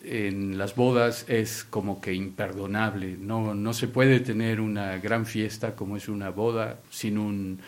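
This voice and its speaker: A man's voice: on the low side, a little slow, few pauses. A man hosting an interview, switching languages as he introduces his guest and asks questions. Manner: switches languages mid-sentence, lively. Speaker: interviewer and host.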